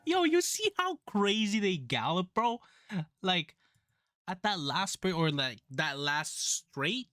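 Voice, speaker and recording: A man hosting a reaction video, with a slightly raspy voice and a rambling, excitable, slangy way of talking. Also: high-pitched, slow, dry recording, studio quality. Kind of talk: casual reaction commentary.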